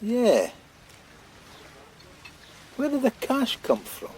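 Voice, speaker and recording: An actor playing an anxious, restless veteran police detective in a radio drama. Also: male voice, extremely high pitch, wildly swooping pitch, very slow, a little mellow, slightly rough, full character voice. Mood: wry.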